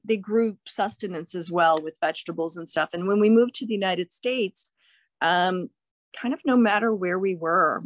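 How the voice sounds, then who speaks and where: A woman's voice: lowish voice, no echo. A middle-aged woman from Croatia, a guest in a casual interview, cheerful and laughing often as she talks. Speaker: guest, plant seller.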